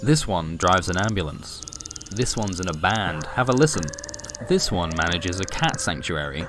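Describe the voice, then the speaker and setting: A man's voice: deep voice, lively intonation, normal speed, music in the background. A man reading scripted comic science fiction as a storyteller, with a wry, dramatic delivery. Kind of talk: scripted comic sci-fi narration.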